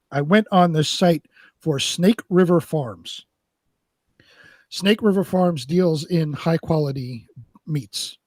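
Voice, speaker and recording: A man with a slightly high voice, recorded very dry, talks casually as a show co-host. He rambles through his stories, pausing and doubling back on his words. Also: a little mellow, no echo. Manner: rambling, halting storyteller. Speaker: co-host of a live online show. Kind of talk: casual chat.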